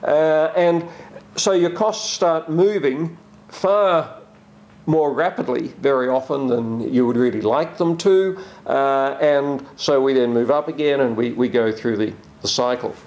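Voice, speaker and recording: A man from Australia, a leadership researcher, explaining his views in a calm, measured conversational way. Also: mid-range voice. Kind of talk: conversational discussion.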